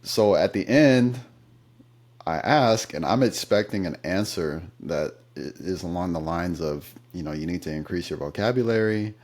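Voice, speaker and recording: A man's voice: low voice, expressive, normal speed, a little mellow. A man being interviewed about his work as an interpreter, telling anecdotes in a thoughtful, conversational way with some fillers. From United States. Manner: thoughtful storytelling, occasional fillers.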